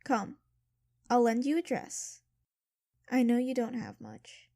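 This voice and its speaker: A woman's voice: a little high, slow pace, dry recording, studio quality, full character voice. A woman playing a motherly, kindly bossy female servant in an audio drama. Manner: warm, motherly, lively.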